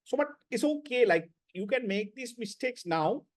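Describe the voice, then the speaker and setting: A man's voice: extremely high pitch, animated, normal speed. A man lecturing students in an online class, blunt and repetitive, often checking with "right?".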